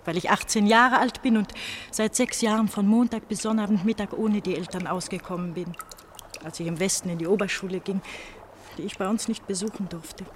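Radio play role: woman as troubled eighteen-year-old runaway pastor's daughter; halting, brooding, mid-pitched, faint noise behind. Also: fairly even intonation, close to an everyday voice. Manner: brooding, halting monologue with repeated phrases. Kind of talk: German radio drama acting.

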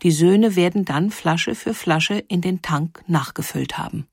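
A woman's voice: fairly low pitch, measured pace, slightly rough, no room sound. Woman narrating in a dry studio sound. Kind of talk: scripted documentary narration.

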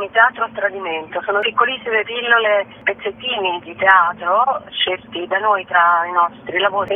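A woman's voice: mid-range voice, a little hiss or hum. A woman speaking as a theatre artist in a radio interview, explaining things spontaneously and conversationally. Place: phone call to a radio show. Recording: thin, tinny phone line.

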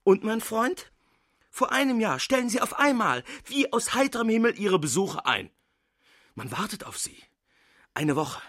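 A lecturing, reproachful country doctor, husky. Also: male voice, a little high, a bit bright, no room sound, clean studio sound, exaggerated voice. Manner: formal, pointed, lecturing. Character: analytical, reproachful male country doctor confronting his friend. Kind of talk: German radio drama acting.

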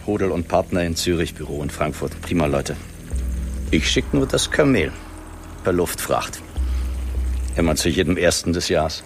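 An actor playing a boastful, cynical financier in a radio play, talking in a bragging, conspiratorial way. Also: male voice, sits low, expressive, normal speed, long pauses, soft background music.

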